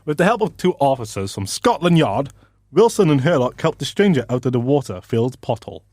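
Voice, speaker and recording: A man's voice: mid-range voice, big pitch swings, a little fast, darkish tone, no echo, clean studio sound. An actor playing a sardonic, theatrical male narrator who mocks the hero in a scripted comic radio drama. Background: music.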